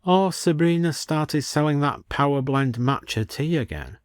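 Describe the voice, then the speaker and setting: A man's voice: a little high, measured pace, no echo, clean studio sound, pitched above the performer's own voice, lightly acted. A man voicing a distracted, unruffled male village doctor in a story.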